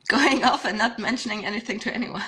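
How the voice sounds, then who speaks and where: Bright-voiced young woman, chatty guest. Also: on the high side, brisk. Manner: chatty, laughs often, many fillers and restarts. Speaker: podcast guest. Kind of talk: casual podcast chat.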